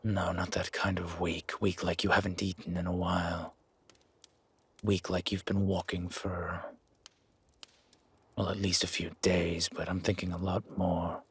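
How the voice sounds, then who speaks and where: A man playing a gruff, wary but grudgingly kind werewolf in a roleplay audio drama, with a raspy, growling, half-whispered voice and terse, brooding delivery. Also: low-pitched, flat delivery, fairly bright tone, exaggerated voice. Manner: slow, brooding, terse commands.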